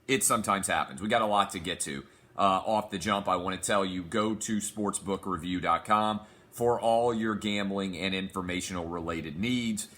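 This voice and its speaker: A forceful male sports talk host with a slightly low, sharp-edged voice. He is sick and a little hoarse but pushes through a confident, emphatic solo monologue, moving easily from ad reads to opinion. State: sick, losing his voice.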